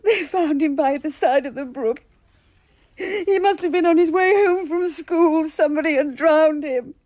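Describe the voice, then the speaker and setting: A woman's voice: sits high, a little slow, a little mellow, no echo. A woman acting in a radio drama as a genteel, normally self-possessed lady who is distraught. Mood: distraught, tearful. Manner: genteel, emotional.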